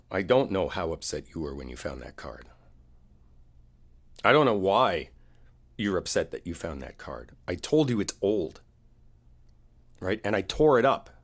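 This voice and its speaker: A man acts out a frustrated boyfriend with a very low, husky voice. He speaks in halting, everyday phrases, as one side of an intimate audio roleplay argument with his partner. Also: full character voice.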